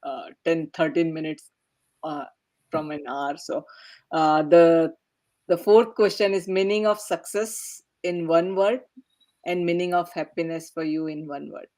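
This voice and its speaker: A woman's voice: low voice, fairly even intonation, unhurried, mellow. A woman hosting a panel podcast, moderating guests with frequent encouraging affirmations. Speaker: podcast host.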